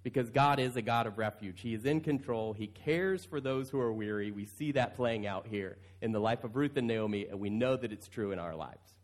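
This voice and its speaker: A man's voice: medium pitch, a little fast. A male pastor preaching in a conversational style, stretching key phrases for emphasis. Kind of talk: conversational sermon.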